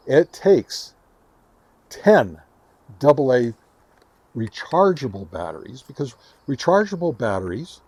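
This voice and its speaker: A man's voice: mid-range voice, mellow, no echo. Man giving a chatty gadget demo, dry sound. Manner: chatty, enthusiastic about gadgets. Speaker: host of a radio gear review channel. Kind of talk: casual hands-on product demo and explanation. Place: home radio shack.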